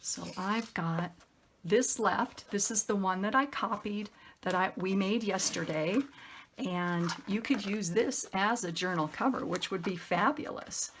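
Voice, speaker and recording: Slow, creaky-voiced woman, upbeat crafter. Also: medium pitch, fairly bright tone, a little hiss or hum. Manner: upbeat, effusive, thinks aloud. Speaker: craft tutorial host. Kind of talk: casual chatty craft demonstration.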